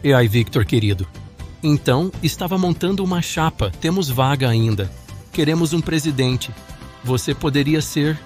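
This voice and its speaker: A man's voice: fairly high pitch, expressive, moderate pace, a little mellow, faint music behind, natural voice. A man playing a persuasive, self-assured political rival in an amateur audio drama, coaxing as he talks. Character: João, smooth, persuasive male political rival.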